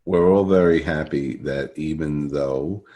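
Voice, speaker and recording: Male podcast interviewer, extremely low voice, halting conversational delivery with repeated phrases. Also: dull audio. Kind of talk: casual interview.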